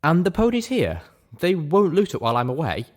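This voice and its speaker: A man's voice: a little high, huge pitch swings, brisk, voice pushed up. A man voicing a cautious, hopeful young female pony heroine in a story, asking questions carefully. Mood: cautious, questioning.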